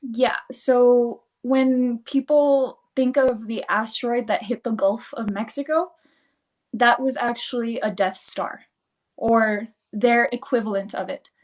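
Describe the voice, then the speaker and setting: A woman whose first language is Spanish, a guest on a livestream chat, explaining things in a fast, rambling way full of "like"s. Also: on the high side, steady tone.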